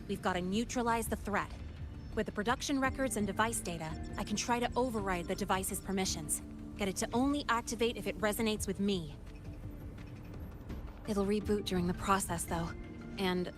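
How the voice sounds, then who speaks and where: A woman's voice: medium pitch, natural voice. Game role: actress as a young female tech whiz, self-assured and wry, casual delivery over music. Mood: brisk, confident. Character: confident, playful female hacker and tech expert, young adult. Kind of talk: video game voice acting. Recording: music behind the voice.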